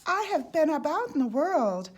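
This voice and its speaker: A woman voicing a boastful, excitable young male frog from a children's storybook, proclaiming things emphatically. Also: sits high, moderate pace, pitched above the performer's own voice. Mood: excited, mysterious. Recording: clearly noisy background.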